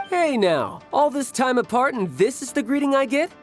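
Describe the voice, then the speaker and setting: A cocky young adventurer from a video game, smooth-talking and playful. His pitch moves freely as he teases, over a faint bed of music. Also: expressive, normal speed. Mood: cool, playful. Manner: playful, teasing, self-assured. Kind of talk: game cutscene acting.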